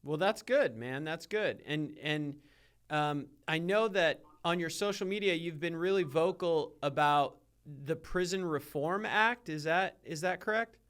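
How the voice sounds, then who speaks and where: A man interviewing a guest as a podcast host. His voice is clean and smooth, sitting slightly high for a man. The style is casual and conversational. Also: a little slow, a bit bright.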